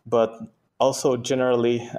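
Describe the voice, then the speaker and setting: A man from Brazil, a native Portuguese speaker, answering interview questions conversationally as a guest engineer, with halting phrasing and repeated words. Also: medium pitch, flat delivery, darkish tone.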